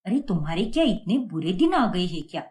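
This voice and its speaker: A woman's voice: lowish voice, very animated, brisk, a little mellow, voice pushed down. A woman voicing a middle-aged neighbor aunty in a Hindi cartoon story, inquisitive and gossipy. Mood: prying.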